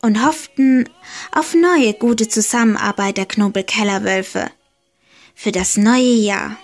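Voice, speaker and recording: Slow, high-voiced woman telling a fairy tale. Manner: slow, deliberate, gently emphatic. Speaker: storyteller. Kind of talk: slow storybook narration.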